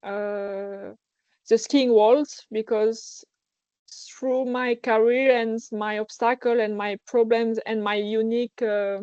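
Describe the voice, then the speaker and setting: A woman's voice: on the high side, fairly even intonation, slow, mellow, clear, resonant tone, dry recording, lo-fi sound. A young woman with a French accent, a guest in a casual interview, speaking hesitantly and searching for words in her second language. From France. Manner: hesitant, searching for words, many false starts. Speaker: guest athlete.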